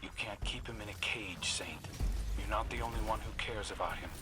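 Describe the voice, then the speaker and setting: A man's voice: fairly low pitch, moderate pace, background noise, natural voice. Wry machine sidekick played by a man. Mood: pointed, defiant. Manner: wry, casual, pointed. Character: wry, needling, streetwise little machine companion. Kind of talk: video game character dialogue.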